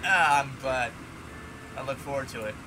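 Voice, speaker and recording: A man's voice: medium pitch, moderate pace, noisy background, pitched below the performer's own voice, lightly acted. A man voicing a shy stammering schoolgirl. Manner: hesitant, stammering.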